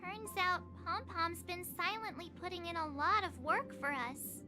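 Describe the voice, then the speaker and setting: A woman's voice: very high voice, normal speed. An actress playing a cheerful, bubbly young woman adventurer who talks in a perky, playful, slightly whiny way, over game music. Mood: worried whiny.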